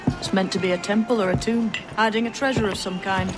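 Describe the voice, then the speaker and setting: An actor playing a youthful, knowing pirate in a video game cutscene. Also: male voice, high voice, steady tone, brisk, music in the background, lightly acted, low-quality recording.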